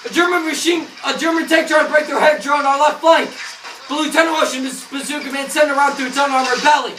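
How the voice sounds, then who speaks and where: Man acting high-voiced paratrooper officer, echoey and distant. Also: steady tone, fast talker, sharp tone, soft background music. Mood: intense. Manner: declamatory, bellows battle orders. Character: dutiful, battle-tested American paratrooper officer recalling combat. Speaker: actor in a war drama. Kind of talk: theatrical monologue and dialogue. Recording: echoey room from far off, noisy.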